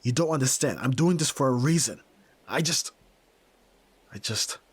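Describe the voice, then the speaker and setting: A man's voice: mid-range voice, expressive, normal speed, slightly rough, no room sound. A young man playing a brusque, tormented nerd who is a reluctant yakuza heir, in a dramatic audio roleplay, speaking huskily and curtly. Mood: desperate, angry. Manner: brooding, curt, pushing the listener away.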